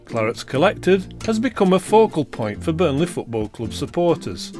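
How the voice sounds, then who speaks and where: Male newsreel narrator with lively intonation. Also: fairly high pitch, normal speed. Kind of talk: scripted documentary narration. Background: music with guitar.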